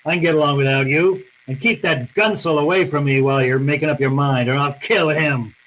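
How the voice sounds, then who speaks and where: An actor playing a tough, wisecracking hard-boiled detective in an old-time radio drama, curt, sardonic and slangy. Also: male voice, on the high side, a little fast, a bit bright, slight background noise, lightly acted, poor audio. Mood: hard, threatening.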